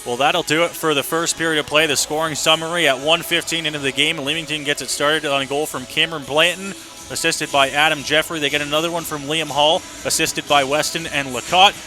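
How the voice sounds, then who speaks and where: A man announces hockey live for a radio broadcast. His voice is slightly high and very bright, with a forceful, cutting edge. Faint music sits behind him. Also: fairly even intonation, a little fast. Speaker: play-by-play announcer.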